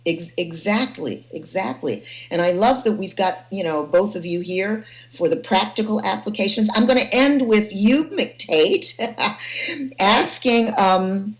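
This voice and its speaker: A woman's voice: medium pitch, unhurried. A woman hosting a panel conversation, feeling her way through sentences and repeating phrases for emphasis. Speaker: host and moderator of a panel discussion. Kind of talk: conversational moderating.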